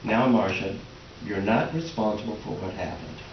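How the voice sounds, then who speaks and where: A man's voice: fairly low pitch, moderate pace, a crowd in the background, natural voice. A man playing a sheepish middle-aged husband in a comic readers theater piece, hesitant, flustered and placating. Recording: roomy, distant, dull, faintly noisy stage recording.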